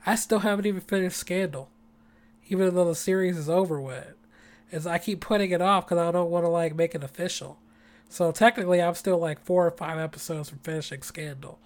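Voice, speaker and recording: A podcast host talks alone from home in a loose, rambling way, full of slang and enthusiasm. The voice is hoarse and sits in a middle pitch. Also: brisk. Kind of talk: casual solo podcast chat. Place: home apartment.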